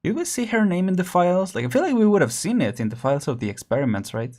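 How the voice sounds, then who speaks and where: A man's voice: sits high, very animated, fast, a little mellow. A man casually commenting while streaming a story game, chatty, thinking aloud and laughing often.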